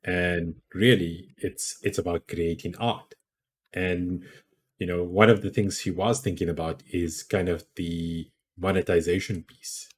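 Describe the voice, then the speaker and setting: A man with a deep, gruff voice giving casual advice on content creation in a rambling way, full of restarts. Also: steady tone, a little slow. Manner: rambling, many restarts and fillers. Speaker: podcast host.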